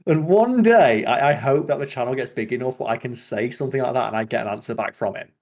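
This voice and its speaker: A man chatting casually as a podcast host, opinionated and given to sweary, animated rants. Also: medium pitch, fast talker.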